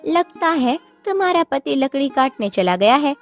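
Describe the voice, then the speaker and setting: A woman's voice: high voice, expressive, moderate pace, soft background music. A woman voicing a boastful, patronising village neighbour in a cartoon story. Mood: smug, boastful. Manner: gloating, patronising.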